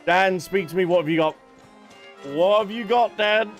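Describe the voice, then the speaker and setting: A man's voice: sits high, moderate pace, soft background music. A man streaming a video game with casual, playful, teasing commentary and chat with viewers.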